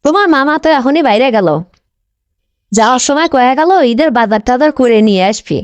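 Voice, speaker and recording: A woman's voice: high voice, animated, brisk, dry recording. A woman voicing a newly married young aunt in a cartoon, talking in a North Bengal Bangla accent. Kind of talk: cartoon dialect comedy acting.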